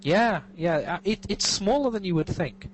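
A man's voice: high voice, animated, moderate pace, low-quality recording. An English man in casual conversation as a guest, rambling and self-mocking, full of restarts. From England. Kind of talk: casual interview chat. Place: living in California, recorded remotely.

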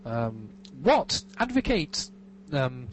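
Lively English man, rambling and wry. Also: medium pitch, slow pace, slight background noise, low-quality recording. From England. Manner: rambling, self-mocking, many restarts. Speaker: guest. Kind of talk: casual interview chat. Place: living in California, recorded remotely.

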